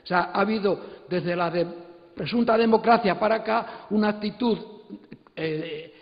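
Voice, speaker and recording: High-pitched man arguing in an echoey hall. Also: normal speed, vocal fry. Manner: emphatic, repetitive, indignant. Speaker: congress speaker, graphic humour specialist. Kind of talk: impassioned opinion at a public panel. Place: large indoor congress hall. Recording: dull, echoey hall recording.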